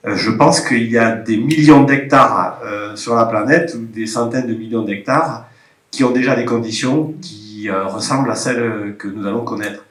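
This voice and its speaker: A man's voice: medium pitch, normal speed. Brief: man with a head cold, thoughtful and hedging, in a reverberant hall. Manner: reflective, hedging. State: has a cold. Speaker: participant from a regional agriculture office. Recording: roomy conference hall sound.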